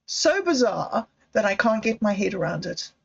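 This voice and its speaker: A middle-aged woman hosting a livestream, talking in a halting, reflective way with restarts and apologies. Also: medium pitch, very animated, gravelly, slightly rough, highs cut off. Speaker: livestream host. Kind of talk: rambling personal livestream talk.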